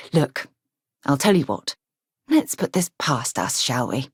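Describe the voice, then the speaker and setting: Self-assured female space explorer for a sci-fi game: low register, earnest yet wry, articulate, ex-military poise, dry studio sound. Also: big pitch swings, slow pace, slightly rough, no echo, full character voice. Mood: reflective. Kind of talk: video game character dialogue.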